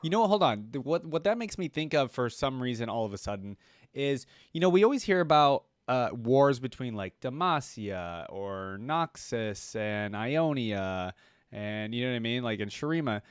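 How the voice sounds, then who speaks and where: A man's voice: medium pitch, animated, moderate pace, clear, resonant tone, no room sound, studio quality. A man hosting a casual reaction video, chatty and musing as he thinks aloud.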